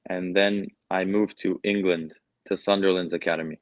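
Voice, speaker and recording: A man's voice: low-pitched, normal speed, no echo. A young man with a Canadian accent, answering interview questions casually and with many fillers. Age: young adult. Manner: relaxed, hesitant with many fillers. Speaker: guest soccer player.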